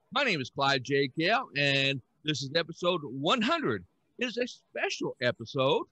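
Older male podcast host, animated and forceful, close dry sound on a headset. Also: fairly high pitch, no room sound. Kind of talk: casual podcast hosting. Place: indoor room at home, on a video call.

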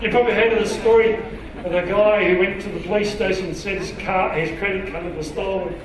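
A man's voice: sits high, steady tone, brisk, a crowd in the background. A middle-aged man preaching informally as a pastor, joking and putting questions to his listeners. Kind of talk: conversational sermon to a congregation. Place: church auditorium stage. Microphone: handheld microphone through PA, far camera. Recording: echoey hall PA, distant, faint noise.

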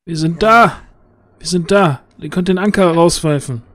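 A man's voice: a little high, fairly bright tone. Agitated man improvising in a roleplay. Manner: agitated, halting, repeats himself. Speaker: tabletop roleplaying player. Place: indoor home, video call. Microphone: headset.

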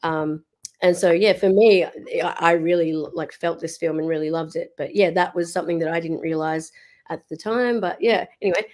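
Woman in her 30s hosting over webcam, kinda squeaky today, lots of ums and restarts, lowish voice. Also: moderate pace, darkish tone. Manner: hesitant, many fillers and restarts. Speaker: podcast host. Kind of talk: casual chat. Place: room at home. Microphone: video call webcam.

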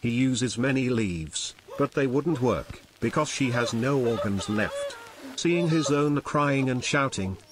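A man's voice: mid-range voice, a bit bright. A man narrating a scripted fairy tale as a storyteller.

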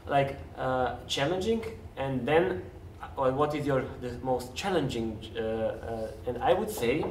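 A man's voice: medium pitch, unhurried, darkish tone. Serbian man in a roomy space. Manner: hesitant, searches for words in non-native English. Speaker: interview guest, parkour coach. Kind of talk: casual interview conversation. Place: large empty café-like room, at a table indoors. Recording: roomy, distant mic, faint noise.

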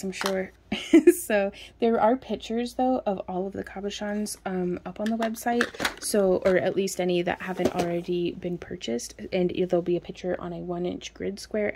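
A woman's voice: fairly low pitch, background noise. A woman, chatting casually as a craft shop owner while showing her products at her home workspace, rambling and laughing often. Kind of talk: casual product show-and-tell.

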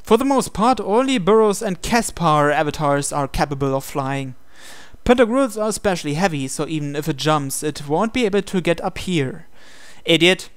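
A man's voice: on the high side, animated, normal speed, clean tone, dry recording. A man reading visual novel narration aloud in a dramatic storytelling style. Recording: game music playing behind. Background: video game music.

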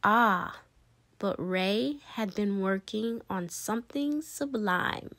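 A woman's voice: medium pitch, lively intonation, takes it slowly, a bit bright, no room sound. A woman reading a children's picture book aloud expressively, with dramatic emphasis and playful asides. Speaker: read-aloud storyteller.

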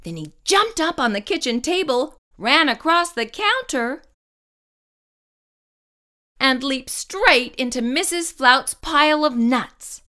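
A woman storyteller reading a children's picture book aloud, expressive and carefully phrased. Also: high voice, slow pace.

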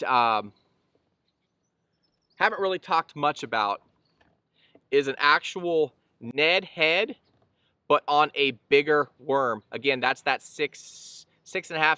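A man's voice: fairly high pitch, bright, forceful tone, smooth, no echo. A man in his thirties, seated on a boat outdoors, giving a casual explanatory fishing lesson to camera with easygoing fillers and restarts. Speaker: fishing channel host. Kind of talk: casual instructional talk to camera.

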